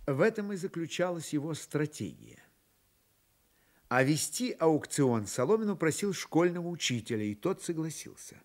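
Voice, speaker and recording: A man narrating a novel for radio in a measured, expressive storytelling manner. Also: fairly high pitch. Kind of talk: dramatised literary narration for radio.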